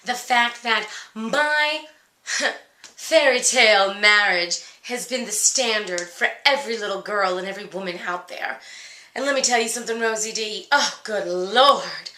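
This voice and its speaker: A woman's voice: mid-range voice, a little slow, sharp tone. A woman voicing a brash, vain, fed-up fairy-tale princess in a comedy mock interview, gossipy and crude with chatty asides. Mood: exasperated, griping. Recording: roomy, echoey sound.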